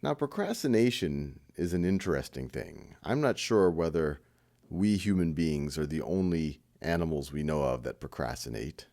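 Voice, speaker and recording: A man leading a guided meditation in an informal, conversational style, with a gentle, encouraging manner and light self-deprecating humour. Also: extremely low pitch, lively intonation, moderate pace, gravelly.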